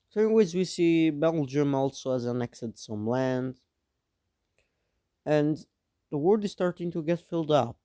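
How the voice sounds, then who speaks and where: Slow, smooth-voiced young man narrating. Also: medium pitch, mellow, no room sound, clean studio sound. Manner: rambling, offhand, teasing asides. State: a bit sick. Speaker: mapping video narrator. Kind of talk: casual narration over a map.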